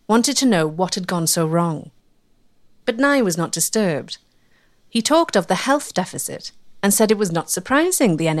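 A woman giving measured, scripted documentary narration. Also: on the low side. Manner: clear, measured storytelling.

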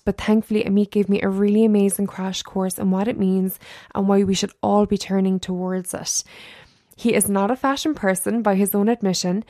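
A woman's voice: mid-range voice, warm tone, dry recording, studio quality. Upbeat woman reading a podcast intro. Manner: upbeat, enthusiastic read. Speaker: podcast host.

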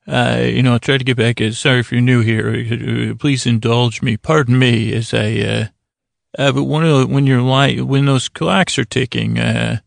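A man hosting a bedtime podcast in a halting, meandering improvised monologue, whimsical and apologetic, with a gravelly creak in his voice. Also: medium pitch, fairly even intonation, no echo. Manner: meandering, halting, trails off into tangents and self-corrections. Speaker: podcast host.

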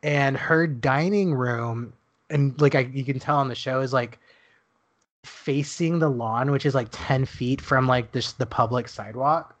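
A man's voice: medium pitch, a little slow, highs cut off. A young man from Dallas, co-hosting a podcast, who talks casually with lots of "like" fillers and laughs often. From Dallas, Texas. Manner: chatty storyteller, laughs often, many "like" fillers. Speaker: podcast co-host. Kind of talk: casual chat.

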